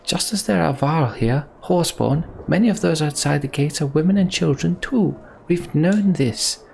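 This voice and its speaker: Man voicing an earnest woman leader, raised pitch. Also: normal speed, gravelly, darkish tone, slight background noise. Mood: earnest, firm. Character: pleading woman leader defending refugees.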